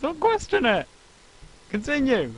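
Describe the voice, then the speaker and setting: A man voicing a flamboyant, melodramatic male sea serpent, eager and amorous, with theatrical exclamations and drawn-out words. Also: very high-pitched, huge pitch swings, slight background noise, voice pushed up, exaggerated voice, low-quality recording. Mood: bossy.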